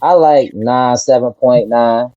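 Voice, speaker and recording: A man's voice: mid-range voice, slow pace, highs cut off. A man from Detroit, a rapper, answering interview questions in a laid-back, earnest way. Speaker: rapper guest.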